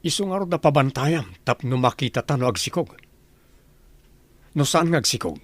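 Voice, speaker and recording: A man's voice: mid-range voice, animated, moderate pace, no echo, lightly acted. A man voicing a wealthy, domineering master who gives stern commands in a radio drama.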